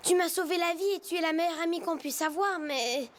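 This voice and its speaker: A shy, lonely young whale boy from a cartoon voiced by a woman. The voice is very high and childlike, earnest and gentle. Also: a little fast, slight background noise. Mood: downcast, earnest. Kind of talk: animated film dubbing.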